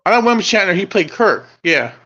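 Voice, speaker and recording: Man in his thirties, casual co-host, bright voice, wanders and corrects himself, lo-fi audio. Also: sits high, a little fast. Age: 30s. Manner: rambling, self-correcting, excitable. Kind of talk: casual chat.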